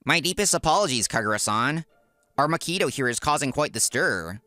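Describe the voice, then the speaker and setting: A man's voice: mid-range voice, animated, a little fast, bright, forceful tone, dry recording, soft background music. A man voicing a cocky, spiteful office worker in a comic dub, with a sneering, taunting manner. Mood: mocking. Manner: sneering, taunting, stammers when cornered.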